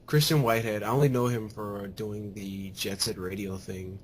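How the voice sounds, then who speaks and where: Hesitant young man, low voice. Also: normal speed, slight background noise. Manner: relaxed, hesitant, many fillers. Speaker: podcast host. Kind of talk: casual chat.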